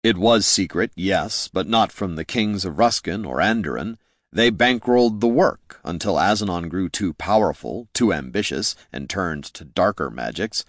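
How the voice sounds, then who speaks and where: A man voicing a necromancer's son in a fantasy audiobook, explaining things in a measured, bitter, knowing way. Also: low-pitched, expressive, no room sound, clean studio sound.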